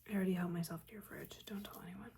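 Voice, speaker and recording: A woman plays a disappointed witch mentor in a whispered ASMR roleplay. Her delivery is breathy and soft-spoken, halting and nagging, with dry exasperation over a caring core. Faint ambient noise sits behind the voice. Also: medium pitch, steady tone. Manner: scolding, halting, dryly exasperated. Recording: audible background ambience noise.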